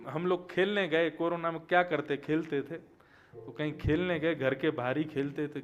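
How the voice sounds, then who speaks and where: A young man giving a devotional sermon, telling stories and chanting verses, quiet one moment and loud and emphatic the next. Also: sits high. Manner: storytelling, swings from quiet to loud emphatic exclamations. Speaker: Bhagavat katha preacher.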